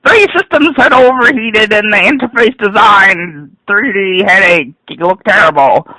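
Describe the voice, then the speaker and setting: Theatrical man, high-pitched and husky. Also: measured pace, no room sound, poor audio. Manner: theatrical, overwrought delivery swinging from hushed to shouted. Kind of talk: over-dramatic reading of an online rant.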